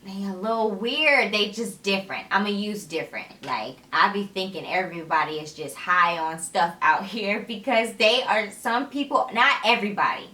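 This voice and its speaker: Young woman vlogger, bright and chatty, a touch raspy from tiredness, recorded in an echoey room. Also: medium pitch, normal speed, few pauses. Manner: energetic, chatty, laughs often, sassy asides. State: tired, slightly raspy voice late at night. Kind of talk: casual chat to camera.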